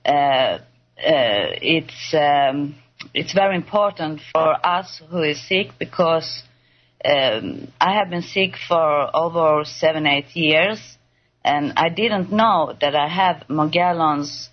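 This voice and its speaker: Slow, halting Swedish-accented woman. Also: deep voice, muffled recording. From Sweden. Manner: halting, searching for English words, many fillers. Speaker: guest. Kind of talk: personal testimony on a call-in show.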